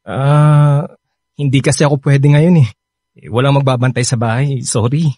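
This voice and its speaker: An actor playing an evasive, apologetic young boyfriend in a radio drama, hesitant and casual, with a husky voice and a Filipino accent. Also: male voice, medium pitch, steady tone, normal speed, dark tone, dry recording, studio quality, close to an everyday voice.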